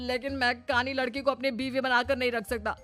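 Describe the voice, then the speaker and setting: Whiny young groom, played by a woman, high and exclamatory, cartoon moral tale, light music behind. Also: little pitch movement, brisk, natural voice. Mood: aggrieved, shocked. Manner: exclamatory, complaining. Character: young bridegroom, greedy, whiny and aggrieved. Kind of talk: moral story cartoon voice acting. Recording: music under the voice.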